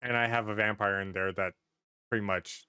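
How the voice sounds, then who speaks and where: A man chatting casually as a podcast host, telling stories in a rambling, excitable way and swearing freely. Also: on the low side, brisk.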